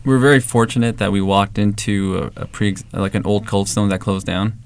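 A young man with a low, gravelly voice that barely rises or falls. He talks in a relaxed interview style, full of "like" and restarts, as a shop co-owner from the Valley. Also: brisk. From Los Angeles, San Fernando Valley. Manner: relaxed storytelling, many fillers and restarts. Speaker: ice cream shop co-owner. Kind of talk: casual interview answers.

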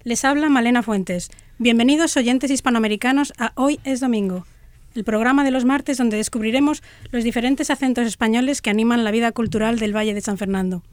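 A woman's voice: a little high, fairly quick, darkish tone, dry recording. Woman hosting a radio interview. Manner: scripted welcome, then questions. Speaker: radio host.

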